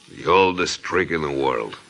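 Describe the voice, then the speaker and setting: An actor playing a smooth, menacing gangster with a mocking manner in a radio detective drama. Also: male voice, extremely low pitch, a bit bright, heavily acted. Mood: suspicious. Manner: mocking, falsely genial, broken grammar.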